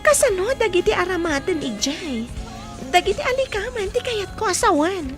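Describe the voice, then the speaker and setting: Woman performing a scheming young mistress, very high voice, swooping intonation, sugary and possessive, Ilocano accent, radio drama. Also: sing-song, normal speed, over music. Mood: sweet, delighted.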